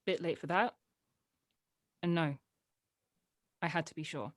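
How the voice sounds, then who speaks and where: A guarded young daughter played by a woman with a slightly low voice, recorded without any room echo. She is dry, blunt and sardonic, in the manner of a drama script read. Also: measured pace. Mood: guarded, bitter.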